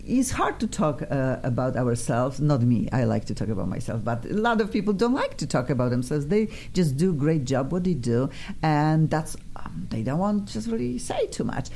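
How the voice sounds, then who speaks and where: A woman with a Polish accent hosting a casual studio interview, chatty and effusive, flattering her guests. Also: low-pitched, moderate pace. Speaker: radio show host. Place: radio studio.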